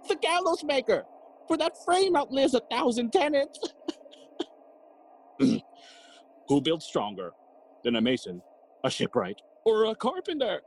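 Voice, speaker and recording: An actor playing a jolly, cheeky gravedigger who riddles and teases in a theatrical bilingual Spanish-English Shakespeare audio play. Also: male voice, extremely high pitch, sing-song, slow, pauses often, a little hiss or hum, heavily acted. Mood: boisterous, mocking. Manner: teasing, sighing and chuckling, theatrical.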